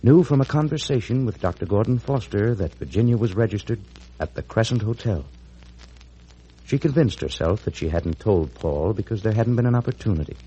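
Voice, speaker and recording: Old-time radio, American male announcer, lowish pitch, animated intonation, husky, scripted delivery. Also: brisk, highs cut off. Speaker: announcer and narrator. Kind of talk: 1940s radio scripted narration. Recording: old 1940s radio recording.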